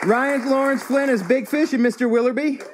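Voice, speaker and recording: Casting: male announcer for live-show sign-off, notably high voice, noisy audience venue. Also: moderate pace, over a noisy crowd. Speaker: cast member reading closing credits. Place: small live performance venue, audience behind.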